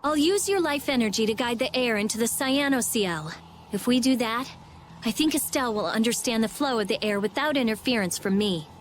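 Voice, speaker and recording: Woman as bossy, high-pitched teenage girl mage. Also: animated, a little fast, a little hiss or hum, lightly acted. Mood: focused, explaining. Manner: sharp-tongued, emphatic. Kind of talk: anime game voice acting.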